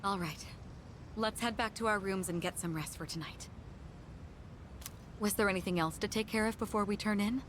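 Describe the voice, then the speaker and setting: A woman playing a composed, formal young leader in a video game, speaking in a measured, authoritative manner. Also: medium pitch, fairly bright tone, slight background noise, lightly acted. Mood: composed, resolute. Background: game music.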